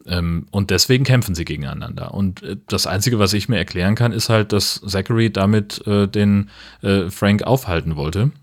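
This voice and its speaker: Lower-voiced man in casual podcast chat. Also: normal speed. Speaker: podcast co-host. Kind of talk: casual film-review chat.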